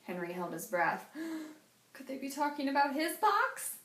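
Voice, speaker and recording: A woman reading a children's picture book aloud as a teacher, with a deliberate, dramatic storytelling delivery. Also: high-pitched, big pitch swings, fairly bright tone. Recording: echoey room.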